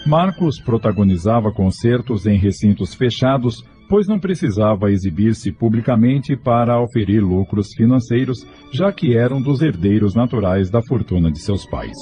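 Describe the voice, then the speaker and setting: A man's voice: fairly low pitch, lively intonation, normal speed, few pauses, warm tone, faint music behind. A Brazilian man giving scripted, dramatic narration for a radio soap opera.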